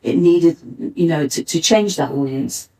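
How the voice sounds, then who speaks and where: Low-voiced older woman, recorded from a distance. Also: unhurried, warm tone. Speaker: pantomime writer, director and actor, panelist. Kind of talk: live panel talk, reminiscing. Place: arts centre stage, live panel. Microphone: distant backup recorder. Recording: distant mic, uneven backup recording.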